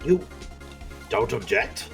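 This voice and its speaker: A man plays an elderly judge in a video game fan dub, grave and formal. His delivery swings widely in pitch, with theatrical pomp. Soft music plays behind him. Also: very animated, slow, creaky, exaggerated voice. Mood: astonished. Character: grave elderly male courtroom judge, easily flustered and pompous.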